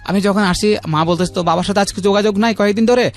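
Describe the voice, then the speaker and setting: A man's voice: high-pitched, fast talker. A man in his thirties with a Bangladeshi accent, telling his personal story as a radio interview guest, repeating himself and often adding check-in tags. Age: 30s. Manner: repetitive, uses frequent check-in tags. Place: radio studio. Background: faint music.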